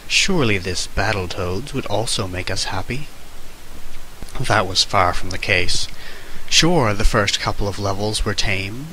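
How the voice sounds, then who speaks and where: A man's voice: lowish voice, pushed and bright, poor audio. A man giving an amateur dramatic reading of a comic essay in a mock-serious, theatrical manner. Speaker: amateur reader. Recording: hissy amateur microphone recording.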